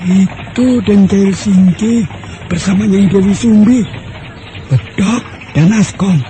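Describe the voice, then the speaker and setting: An actor playing a timid village man in a radio drama, slow and deferential, stammering before his betters. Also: high voice, dark tone, slight background noise, low-quality recording. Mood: worried.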